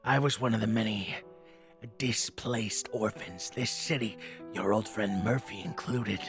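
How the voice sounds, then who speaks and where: An actor in an audio drama playing a blunt, hot-tempered henchman from the streets, talking in casual, rough slang. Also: lowish voice, unhurried, over music, lightly acted. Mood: earnest, hardened.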